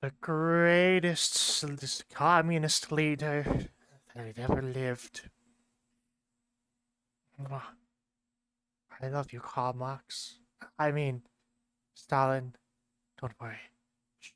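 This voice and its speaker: A man voicing a pompous, grandiose communist leader in a put-on foreign accent that keeps changing, declaiming slowly. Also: mid-range voice, steady tone, a bit bright, smooth. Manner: grandiose, slow declaiming speeches. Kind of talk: amateur lore video skit.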